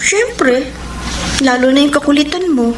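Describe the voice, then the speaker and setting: A woman's voice: high-pitched, animated, a little slow, background noise. An actress playing a heartbroken, weary but resolute woman in unrequited love, with a Filipino accent, in a radio romance drama. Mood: weary, wistful. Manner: code-switches between Tagalog and English. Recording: echoey, loud music bed.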